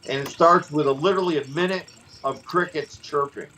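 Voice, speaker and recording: A middle-aged man and former wrestler hosting a podcast, telling stories in a rambling, animated way with lots of restarts. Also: a little high, fairly quick, a little hiss or hum, poor audio. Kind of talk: casual storytelling chat.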